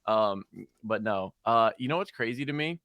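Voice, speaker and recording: A man's voice: lowish voice, moderate pace, smooth, no echo, highs cut off. A man co-hosting a music review stream, chatting casually with lots of fillers. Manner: chatty, many fillers, excitable.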